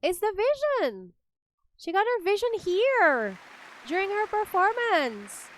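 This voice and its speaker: High-pitched, animated woman game streamer. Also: unhurried, slight background noise. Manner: excitable, gasping exclamations. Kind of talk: live reaction to game cutscenes.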